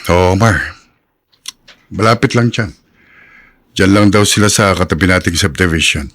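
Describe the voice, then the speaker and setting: A man's voice: deep voice, moderate pace, a bit bright. A man playing a mild, practical father in a Filipino radio drama, polite and a little hesitant. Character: mild, practical father who runs a gas dealership.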